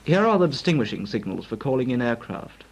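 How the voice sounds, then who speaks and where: An actor playing a formal, precise British naval flying instructor lecturing trainees in a 1940s instructional film. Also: male voice, mid-range voice, sing-song, fairly quick. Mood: formal, instructive. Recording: noisy, crackly 1940s film soundtrack.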